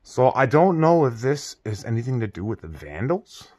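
A middle-aged man giving casual, halting, off-the-cuff commentary as he walks around outdoors with a handheld camera. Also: medium pitch, sing-song, darkish tone, dry recording, studio quality. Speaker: exploration vlogger. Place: outdoors in snowy burned-out house ruins. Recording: clearly noisy outdoor recording with clicks.

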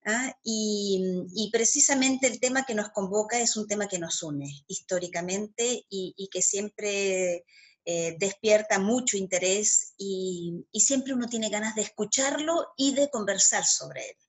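A middle-aged woman from Argentina, a historian guest, explaining history in a measured way over a video call from home and tagging her points with "¿ah?". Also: medium pitch, slightly rough. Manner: measured, frequent "¿ah?" tags. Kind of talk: academic explanation. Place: home room. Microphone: video call laptop mic.

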